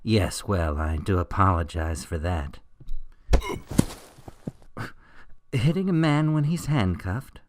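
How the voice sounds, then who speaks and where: An actor playing a smug, mocking criminal mastermind in a radio drama. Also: male voice, low voice, lively intonation, a little slow, very noisy. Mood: sullen. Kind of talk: radio drama villain acting.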